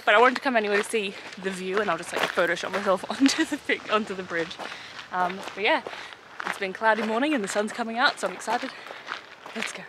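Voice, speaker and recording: A woman's voice: medium pitch, quick pace, noisy background. Young woman, chatty vlog voice, lots of ums, handheld camera outdoors. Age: young adult. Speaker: travel vlogger. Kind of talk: casual travel vlog. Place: outdoors by the coast and in town. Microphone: handheld camera at arm's length.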